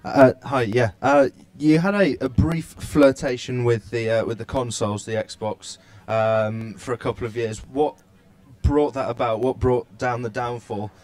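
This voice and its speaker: A man's voice: mid-range voice, steady tone, a little slow, a little mellow, dry recording. A man in the audience asking a hesitant question, full of fillers, heard from a distance.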